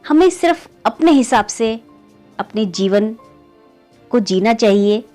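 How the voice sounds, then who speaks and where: A woman's voice: lively intonation, slow pace, a little mellow, soft background music. Woman telling a moral story to friends. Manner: keeps calling listeners "friends", pitch rising on story beats. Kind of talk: conversational moral story narration.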